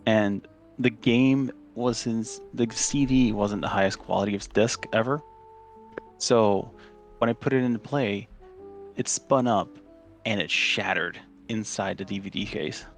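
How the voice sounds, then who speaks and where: A man's voice: lowish voice, a little slow, soft background music. A man from Chile, a podcast guest, telling rambling stories in casual chat with lots of fillers and restarts.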